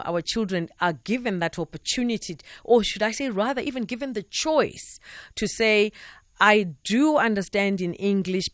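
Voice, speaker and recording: A man's voice: high-pitched, a bit bright, no echo. South african guy hosting a late night call-in show, chatty, lots of you knows, dead dry studio mic. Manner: chatty, loose, with many "you know" fillers. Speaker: radio talk show host.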